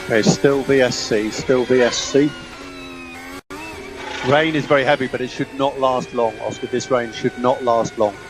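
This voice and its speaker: Male race engineer, team radio effect, clipped repeated instructions, medium pitch, heavy vehicle noise. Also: fairly even intonation, moderate pace. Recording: noisy car team radio.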